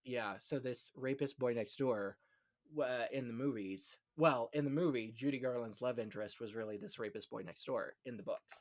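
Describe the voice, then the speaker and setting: A man's voice: mid-range voice, moderate pace. A man hosting a film podcast, talking casually and chattily with little asides. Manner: chatty, punctuates talk with "you know" asides. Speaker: podcast host. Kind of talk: casual chat about classic film.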